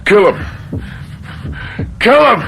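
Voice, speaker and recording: A man playing a jittery, guilt-maddened murderer in an old radio drama, halting, stammering and repeating his words. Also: high voice, sing-song, gravelly, fairly bright tone, slight background noise, heavily acted. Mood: frantic.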